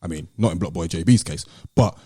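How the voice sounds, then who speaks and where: Low, mellow-voiced British podcast host, a man. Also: brisk, slightly rough, no echo, clean studio sound. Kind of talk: casual podcast discussion.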